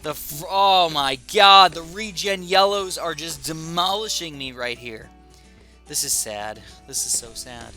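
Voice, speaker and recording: Young male gaming YouTuber, slightly raspy, bright and excitable, casual commentary. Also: fairly high pitch, unhurried, soft background music. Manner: chatty and excitable, thinking aloud. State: slightly raspy from a sore throat.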